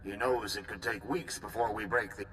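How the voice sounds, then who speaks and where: An actor playing a blunt male supreme commander in a video game, brisk and authoritative. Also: lowish voice, steady tone, fairly bright tone, a little hiss or hum, lightly acted. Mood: commanding. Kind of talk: game voice acting.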